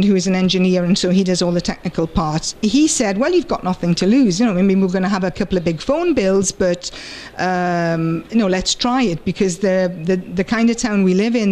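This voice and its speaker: A middle-aged British woman translator, speaking thoughtfully in an interview and pausing often with fillers. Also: medium pitch, a little mellow. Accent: British English. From United Kingdom. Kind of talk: conference interview and personal story.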